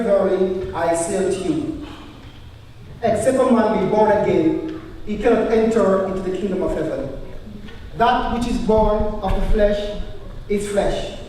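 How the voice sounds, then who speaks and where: A man playing a solemn teacher with calm authority in a live church drama, reciting scripture in emphatic, measured phrases. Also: sits high. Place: church hall, recorded live. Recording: very echoey hall, distant mic, faint noise.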